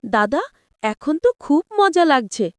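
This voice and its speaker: Little village girl, acted by a woman reader, childlike and open, storybook pupil, raised pitch, dry sound. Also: very animated, slow pace, dry recording, full character voice. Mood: delighted.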